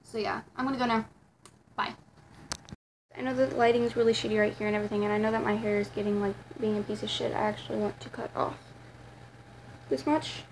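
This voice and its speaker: A teenage girl with a raspy voice, talking to her camera in a rambling, casual vlog full of fillers and restarts. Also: medium pitch, steady tone, quick pace, pauses often, a little hiss or hum, poor audio. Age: teens. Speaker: vlogger.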